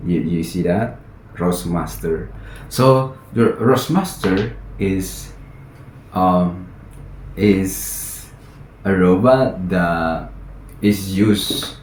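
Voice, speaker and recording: A man from Indonesia with an Indonesian accent, a native Indonesian speaker, vlogging in English with halting speech full of fillers and restarts. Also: deep voice, darkish tone, a little hiss or hum. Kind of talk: casual vlog, practising English. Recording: echoey room.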